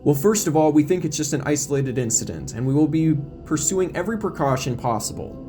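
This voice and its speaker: A man playing a measured, official police chief in an audio drama, formal and guarded. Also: fairly high pitch, expressive, music in the background, lightly acted. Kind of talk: audio drama character acting.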